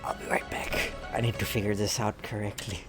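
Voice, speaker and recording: A man giving casual gameplay commentary, muttering and thinking aloud in a halting way. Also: on the low side, flat delivery. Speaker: gamer. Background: game music, keyboard typing.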